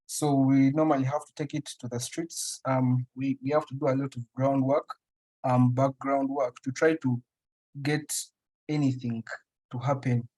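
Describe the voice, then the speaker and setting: A man's voice: mid-range voice, little pitch movement, moderate pace, dark tone, poor audio. A young man from Uganda, answering interview questions casually and thoughtfully, with frequent restarts and fillers. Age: young adult. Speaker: interview guest, writer.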